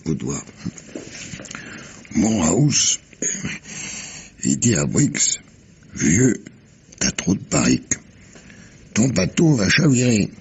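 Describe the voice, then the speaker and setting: Husky old sailor, swinging pitch. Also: male voice, big pitch swings, darkish tone, full character voice. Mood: animated, conspiratorial. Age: 80s. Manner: halting, chuckling, salty storyteller with hums and asides. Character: very old, weary, sly French sailor telling a secret. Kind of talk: French radio mystery drama acting.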